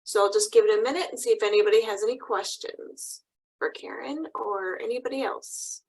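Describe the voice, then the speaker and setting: A woman's voice: mid-range voice, a little fast, rough recording. A woman moderating a webinar over a video call, friendly and inviting questions. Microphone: computer mic on a video call.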